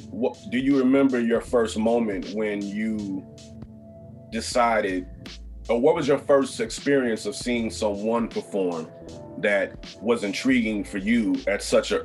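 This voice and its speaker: A man hosting a podcast interview, talking in a casual, halting way with many fillers and restarts. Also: fairly low pitch, darkish tone, soft background music. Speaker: podcast host.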